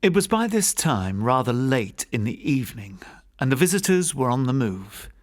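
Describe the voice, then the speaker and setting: A man narrating a classic ghost story as a scripted audiobook, in a measured, literary storytelling manner. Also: mid-range voice, very animated.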